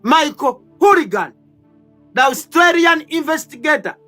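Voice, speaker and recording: A man's voice: extremely high pitch, animated, unhurried, bright, forceful tone, faint music behind. A man in his thirties hosting a heated political debate panel, accusatory and emphatic, hammering rhetorical questions. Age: 30s.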